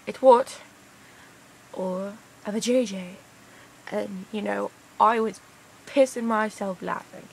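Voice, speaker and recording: Young woman, creaky put-on British accent. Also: medium pitch, a little hiss or hum, rough recording. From Canada. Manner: crude, slangy, hesitant. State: tired. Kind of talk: casual rambling vlog.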